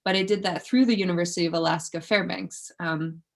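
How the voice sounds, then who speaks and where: Lower-voiced woman giving an informal lecture. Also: steady tone, brisk, creaky. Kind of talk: informal academic talk. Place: indoors in an office, online presentation.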